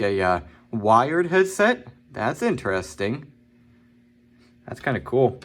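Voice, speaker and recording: Regular guy doing an unboxing review, medium pitch, kinda halting, hedges a lot, super informal. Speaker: product reviewer. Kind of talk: casual unboxing and review talk.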